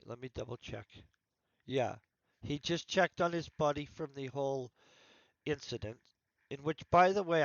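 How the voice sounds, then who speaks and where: A man's voice: fairly high pitch, no echo, muffled recording. A man talking casually on a livestream about writing his book, thinking aloud and rambling, his voice congested. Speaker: author streaming his writing session.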